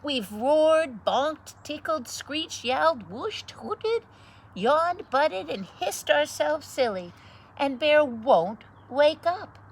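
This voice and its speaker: A woman voicing a sad chorus of gentle animal friends in a picture book read-aloud, plaintive and sing-song. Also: high voice, sing-song, slow, voice pushed up, heavily acted, poor audio.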